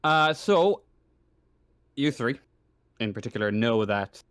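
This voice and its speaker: A man narrating a tabletop roleplaying game as game master, improvising in a halting way and often rephrasing himself. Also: medium pitch, lively intonation, a bit bright, smooth, dry recording, studio quality.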